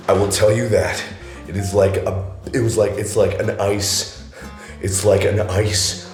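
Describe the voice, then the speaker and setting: A man in his twenties hosting a joking vlog, hoarse and gravelly from being sick, talking loosely with loud bursts. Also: fairly low pitch. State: hoarse from illness. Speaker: YouTube host. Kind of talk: casual comedic vlog. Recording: echoey room.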